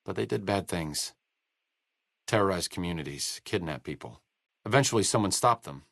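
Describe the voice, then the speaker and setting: Deep-voiced, dry-witted bookish doctor. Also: male voice, animated, moderate pace, creaky, fairly bright tone, no echo, exaggerated voice. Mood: earnest. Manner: sardonic, articulate, understated. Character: dry-witted, bookish male doctor and researcher, idealistic and self-deprecating. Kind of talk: video game dialogue acting.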